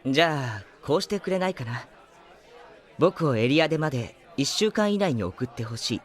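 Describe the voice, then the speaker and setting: An actor playing a polite, composed, enigmatic youth in a Japanese audio drama, soft-spoken and boyish. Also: male voice, medium pitch, big pitch swings, moderate pace, a faint crowd, lightly acted. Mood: composed, mysterious.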